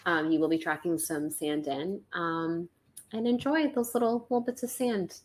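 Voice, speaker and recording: A young woman from Florida co-hosting a podcast, chatting casually and fast, with lots of likes and ums. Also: fairly low pitch, darkish tone. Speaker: podcast co-host. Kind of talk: casual chat.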